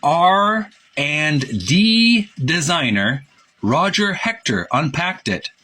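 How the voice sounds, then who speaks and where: A man reading aloud and describing pictures as a presenter, with an informal, at times halting delivery. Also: a little high, big pitch swings, bright, forceful tone.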